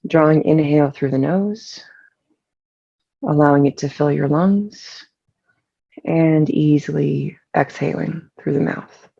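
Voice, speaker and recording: A relaxed meditation guide speaks with soft, dark tone and a good deal of gravelly fry. The delivery is soothing and unhurried, leaving long pauses between phrases. The recording sounds slightly dull and lo-fi. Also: mid-range voice.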